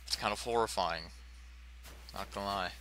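An American man from Ohio giving casual, rambling, profane gameplay commentary in a monotone manner. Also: on the low side, normal speed, a little hiss or hum. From United States, Ohio. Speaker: let's play host. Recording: game music behind the voice. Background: video game music.

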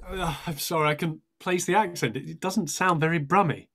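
A man's voice: on the high side, fairly quick, gravelly, a bit bright. A man playing a polite, theatrical amateur thespian in a comedy sketch, genteel and a little hesitant. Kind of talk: comedy audio sketch acting.

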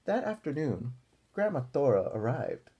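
A young man reading a picture book aloud to children as a teacher, pausing for encouraging asides and questions. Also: medium pitch, sing-song, dark tone, slightly rough, muffled recording. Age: young adult. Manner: encouraging, asks listeners questions. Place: small room at home, indoors.

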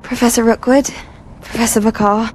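A woman's voice: fairly high pitch, fairly even intonation, normal speed, a little hiss or hum, natural voice. An actress playing an earnest, curious teenage witch student in a fantasy game, polite and respectful. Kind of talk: cinematic fantasy game acting.

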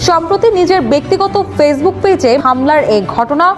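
High-voiced woman reading news. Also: expressive, moderate pace. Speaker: news presenter. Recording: news clip with music bed.

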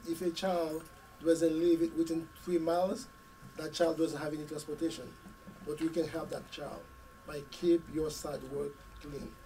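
A hoarse man with a cold speaks earnestly from a podium, setting his words out in short phrases with pauses. His voice sits a little high for a man. The mic picks him up from some distance, with faint room noise under it. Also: warm tone. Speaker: school committee member. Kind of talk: community meeting speech. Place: community meeting room. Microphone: podium mic, camera at a distance.

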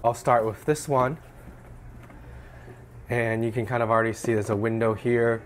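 A young man with a slightly hoarse voice from a cold, relaxed and explanatory as he narrates an unboxing at home. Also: medium pitch, moderate pace, a little hiss or hum. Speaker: fashion vlogger. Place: small room indoors at home.

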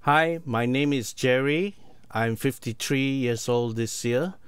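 A man in his fifties from Singapore giving a measured, halting self-introduction as a recorded sample. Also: mid-range voice, clear, resonant tone, dry recording. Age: 50s. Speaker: demo speaker.

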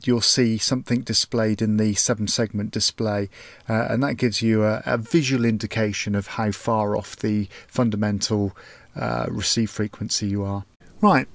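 A man's voice: medium pitch, dry recording. A man reviewing a small radio at a tabletop, explaining each step methodically with frequent filler sounds. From United Kingdom. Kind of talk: hands-on product demonstration. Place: indoors at a tabletop.

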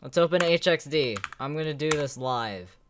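A young man giving a casual, sweary live reaction as a game streamer, with an excitable, slangy manner. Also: a little high, normal speed, background noise. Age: young adult. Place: indoors, small home room.

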